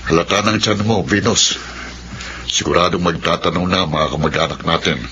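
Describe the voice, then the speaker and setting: A man playing a stern middle-aged father in a radio drama, questioning with halting authority. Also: low-pitched, fairly even intonation, pushed and bright, slight background noise. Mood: stern, probing. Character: stern, conditional-loving father of pregnant daughter.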